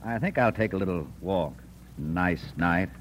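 Low-voiced, gentle husband. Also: male voice, a little slow, a little hiss or hum. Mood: subdued. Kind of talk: old-time radio drama acting.

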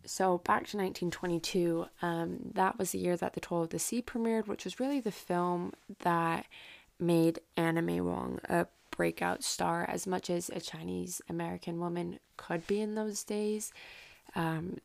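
A woman's voice: fairly low pitch, fairly even intonation, normal speed, gravelly. A woman hosting a solo podcast, telling history in a casual, informal way with rambling asides and self-interruptions. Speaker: podcast host.

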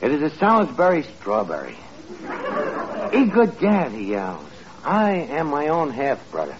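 A man's voice: fairly high pitch, huge pitch swings, measured pace, a crowd in the background. A man playing a cocky, wisecracking tavern manager with a New York accent and slangy mock-genteel talk, in 1940s radio comedy. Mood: mock-dramatic. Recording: muffled noisy 1940s radio, studio audience.

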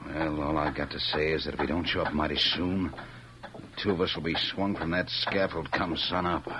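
Gloomy condemned cowboy outlaw, American, extremely low voice, faintly hissy vintage radio drama. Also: male voice, level tone, moderate pace. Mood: gloomy, uneasy. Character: gloomy, uneasy condemned Old West bandit. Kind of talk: old-time radio western acting.

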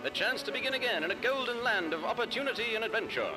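An actor playing a grandiose advertising announcer from 1940s radio, delivering a rousing sales pitch. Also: male voice, lively intonation, fast, rough recording. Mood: rousing, salesy. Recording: music under the voice.

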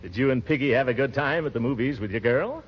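A man's voice: mid-range voice, lively intonation, fast, close to an everyday voice. An actor playing a bashful, smitten, jovial middle-aged man in 1940s radio comedy, hesitant and theatrical. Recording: muffled old 1940s radio recording, music behind.

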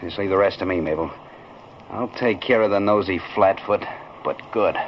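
An actor playing a shrewd, curt small-time crook with slangy speech, in 1940s radio style. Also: male voice, sits low, moderate pace, over loud music. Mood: sly, menacing. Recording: muffled, noisy old radio recording.

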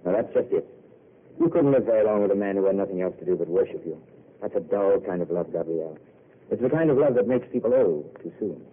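A man playing a gentle, rueful wandering intellectual in a 1940s radio play, courtly and soft-spoken. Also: sits low, lively intonation, quick pace, husky. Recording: tinny old radio broadcast.

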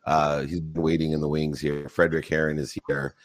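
A man hosting a live audio talk show with an extremely deep, low voice. He speaks effusively, sprinkling in plenty of "uh"s between his thoughts. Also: level tone, a little fast, heavy background noise. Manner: effusive, full of fillers. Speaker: show host.

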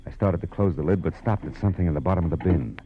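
Male private detective, played by an actor, low-pitched, cool and commanding, terse noir delivery, dull vintage radio sound. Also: rapid-fire, a little hiss or hum, lightly acted. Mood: tense, brooding. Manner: clipped, tough, world-weary. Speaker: lead actor and narrator. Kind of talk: 1940s radio noir acting and narration. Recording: muffled old radio recording with music.

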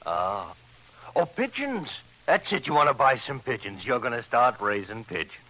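An actor playing a slippery, mocking criminal in a 1950s radio crime drama, with a theatrical delivery. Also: male voice, mid-range voice, very animated, moderate pace, pushed and bright. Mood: mockingly genial. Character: slippery male criminal, mockingly genial, then defiant. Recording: faintly noisy old radio recording.

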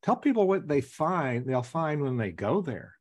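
A man's voice: a little high, very animated, a little slow. A man from the American South hosting a podcast interview in a chatty, enthusiastic way. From Southern United States. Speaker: podcast host.

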